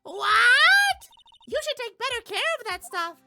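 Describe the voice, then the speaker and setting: A man's voice: very high-pitched, very animated, unhurried, vocal fry, over loud music, voice pushed up, heavily acted. A man voicing an eager, anxious young female assistant in a game, earnest and full of questions.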